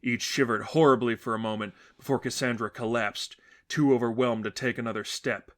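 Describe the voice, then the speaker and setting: A man with a medium-pitched voice narrates a piece of fiction. He reads from a script in a dramatic audiobook manner. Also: steady tone, a little fast, fairly bright tone. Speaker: narrator reading fan fiction.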